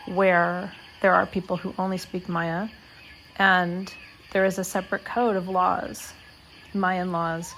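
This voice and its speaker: A woman with a fairly low voice speaks as a meditation guide. She is measured and deliberate, placing short phrases between pauses. Clear nature noise, with birds and crickets, runs behind her. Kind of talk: guided meditation talk, spoken reflectively.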